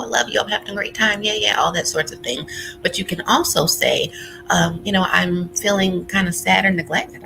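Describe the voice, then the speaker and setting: A woman's voice: low-pitched, vocal fry, rough recording. A woman giving an informal explanatory lecture as a relationship workshop speaker, conversational and voicing example phrases as she goes.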